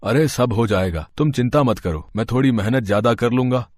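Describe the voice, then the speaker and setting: A man's voice: mid-range voice, a little fast, a little mellow, close to an everyday voice. A man playing a poor, weary farm labourer and family man in a moral story, earnest and plaintive. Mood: hopeful, fond. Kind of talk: Hindi moral story voice acting.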